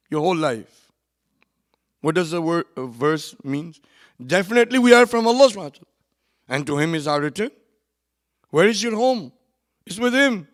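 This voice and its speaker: A man giving a sermon at a memorial gathering, moving between quiet, conversational asides and loud, emphatic lines, with quick runs of recited Arabic verse. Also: high voice. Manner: swings from soft asides to loud emphatic preaching. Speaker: religious speaker at a memorial gathering. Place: hall at a community centre.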